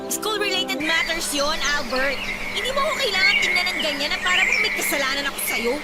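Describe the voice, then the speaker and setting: A young woman with a Filipino accent playing an impatient, sharp-tongued girlfriend in a radio drama. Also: very high-pitched, expressive, quick pace. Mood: irritated. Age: young adult. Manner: sharp and dismissive, later soothing. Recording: loud music bed under voice.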